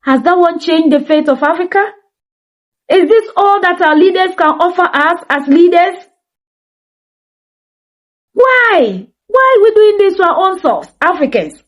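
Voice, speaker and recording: A woman's voice: very high-pitched, lively intonation, measured pace, long pauses. A woman with a Ghanaian English accent giving impassioned commentary, asking rhetorical questions in an indignant tone.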